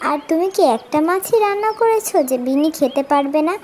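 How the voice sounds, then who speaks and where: Cartoon little girl, female actor: high voice, earnest, outspoken, slight background noise. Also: expressive, brisk, darkish tone. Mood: indignant.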